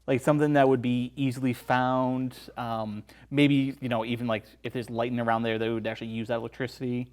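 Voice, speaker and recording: A man's voice: medium pitch, flat delivery, brisk, few pauses, a little mellow. A man as a podcast guest, casually discussing a film and explaining things in a conversational way.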